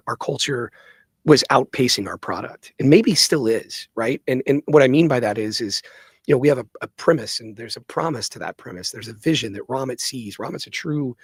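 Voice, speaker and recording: Emphatic husky man in conversation. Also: mid-range voice, no room sound. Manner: emphatic, checks in with "right?". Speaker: company co-founder, podcast guest. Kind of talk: conversational interview answers.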